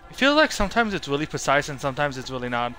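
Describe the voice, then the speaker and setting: A man's voice: fairly high pitch, sing-song, very fast, music in the background. A young man giving casual, rambling, opinionated live commentary as he streams a video game. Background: horror video game sound.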